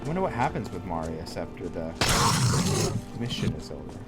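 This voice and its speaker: A man's voice: sits low, sing-song, brisk. A man giving casual, improvised video game commentary, rambling in a laid-back way and chatting to the game's characters. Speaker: gaming YouTuber, host of a roleplay let's play. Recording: game music and rain behind voice. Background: loud game music, rain and thunder.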